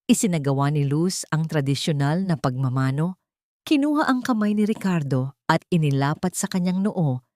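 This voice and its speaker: Low-voiced woman narrating drama. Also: a little mellow, no echo, studio quality.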